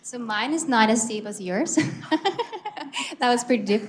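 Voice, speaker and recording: A woman's voice: fairly high pitch, moderate pace, a little hiss or hum. A woman from Colombia with a Colombian Spanish accent, a native Spanish speaker, talking candidly on a panel with many fillers. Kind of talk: panel discussion answer.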